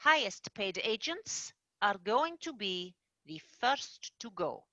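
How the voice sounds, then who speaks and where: Woman voicing a prim fairy manager, formal and measured, bright lively voice, dry dull recording. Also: fairly high pitch, no echo, full character voice. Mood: formal, brisk. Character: brisk woman boss of the tooth fairies. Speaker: manager firing an agent.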